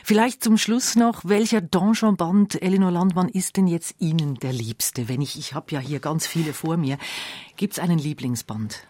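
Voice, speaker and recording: A woman's voice: lowish voice, moderate pace, dark tone, a little hiss or hum. A woman hosting a radio magazine, asking questions and summing up in a conversational interview style.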